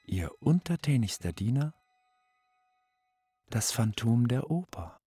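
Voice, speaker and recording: A man's voice: medium pitch, sing-song, warm tone, husky, no echo, full character voice. An actor playing a courteous yet menacing male opera phantom, ceremonious and demanding, in for a radio play. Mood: imperious, formal. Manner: ceremonious, deliberate. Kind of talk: German radio play, letter monologue. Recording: studio voice over music. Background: music.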